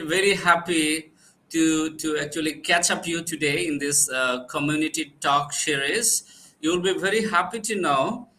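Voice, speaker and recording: A man hosting a video interview, his voice bright and pitched a little high. He talks haltingly, full of filler sounds and restarts as he reaches for words. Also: rough recording. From Bangladesh. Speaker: host.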